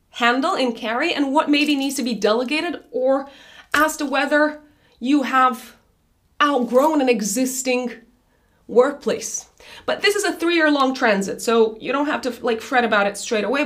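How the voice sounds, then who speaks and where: Hoarse, raspy higher-voiced woman astrologer. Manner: chatty, addresses viewers fondly, many fillers and restarts. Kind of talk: monthly horoscope forecast to camera.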